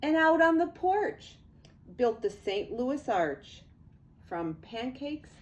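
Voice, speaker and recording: An older woman, a grandmother, reading a children's storybook aloud in an expressive, deliberate way. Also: high-pitched.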